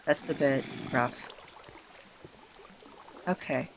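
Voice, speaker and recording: A man with a hoarse, tired voice, self-consciously rambling through casual gameplay commentary with many fillers and restarts. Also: medium pitch, drawn-out words, slight background noise. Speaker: let's player.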